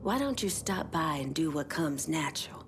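An actress playing a composed, knowing Vodou shopkeeper who leads an underground resistance in a video game, speaking in a measured, conspiratorial way. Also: female voice, deep voice, animated, very noisy. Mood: warm, resolute. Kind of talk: video game character dialogue.

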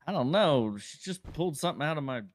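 A man's voice: a little high, lively intonation, quick pace. A man improvising as a dazed police officer in online game roleplay. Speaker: gamer role-playing a dazed police officer. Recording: noisy game and vehicle background.

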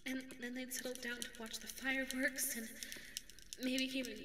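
A woman's voice: sits high, flat delivery, normal speed, noisy background, close to an everyday voice. A woman voicing a sweet, anxious female dog secretary with a crush, speaking hesitantly in an ASMR roleplay. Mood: wistful daydreaming. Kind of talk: ASMR audio roleplay monologue. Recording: echoey reverberant sound with background music. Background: music.

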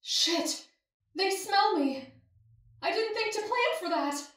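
A woman voicing a playful, teasing young woman infiltrator in an expressive, excitable way for a story narration. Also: sits high, brisk, pitched above the performer's own voice. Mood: panicked.

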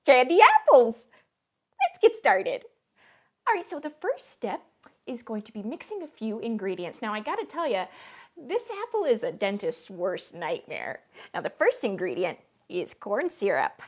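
A young woman playing a chirpy, wacky cooking-show host in a home kitchen, with a bubbly sing-song delivery and sudden hushed asides. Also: fairly high pitch, huge pitch swings, normal speed. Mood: perky. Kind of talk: parody cooking show, scripted comedy.

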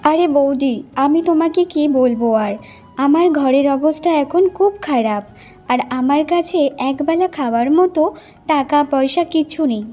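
A woman as a high-voiced, humble village girl. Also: moderate pace, warm tone, natural voice. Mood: pleading. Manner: pleading, polite, earnest. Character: poor, humble, kind-hearted young village woman. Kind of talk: Bengali cartoon fairy-tale voice acting. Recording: music behind the voice.

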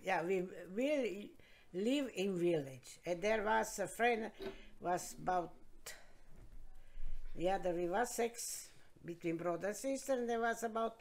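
A woman, being interviewed by her grandkids and telling her story in plain, halting, broken English. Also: medium pitch, a little mellow. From Croatia. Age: 70s. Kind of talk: casual interview.

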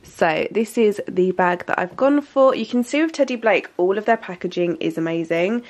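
A woman's voice: medium pitch, moderate pace. A young woman in her twenties chatting casually to her handheld camera at home, enthusiastic and full of fillers, her nose stuffy from hay fever. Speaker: lifestyle vlogger. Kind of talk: casual vlog chat to camera. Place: living room at home, indoors.

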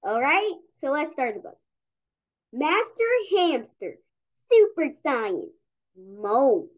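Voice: high-pitched, sing-song, slow. A child reading a children's comic aloud as storytelling, stumbling over words now and then. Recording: dull, lo-fi audio.

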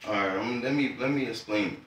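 A man's voice: mid-range voice, brisk, a bit bright. A man from Philadelphia chatting casually as a guest on a group podcast in a lounge. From Philadelphia, United States. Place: lounge with several people on couches. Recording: echoey, distant, noisy room sound.

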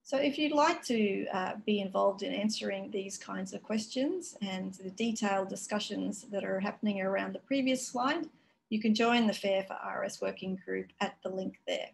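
A woman giving a conference keynote over a video call, explaining in a measured way with frequent fillers. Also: mid-range voice, gravelly, slight background noise, low-quality recording. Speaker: keynote speaker. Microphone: video call webcam.

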